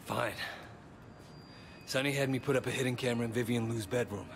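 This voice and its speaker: An actor playing a tough undercover cop who is blunt and swears freely. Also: male voice, mid-range voice, fairly even intonation, fairly quick, slight background noise, lightly acted. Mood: subdued. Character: tough, hot-tempered male undercover cop. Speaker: undercover police officer. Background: game score music.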